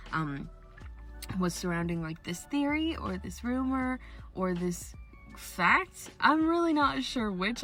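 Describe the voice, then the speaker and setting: Young woman host, a touch low, mildly raspy with a cold, casual rambling chat over faint music. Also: a bit bright. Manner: chatty, rambling, frequent laughs and fillers. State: coming down with a cold, a bit raspy. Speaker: art channel host.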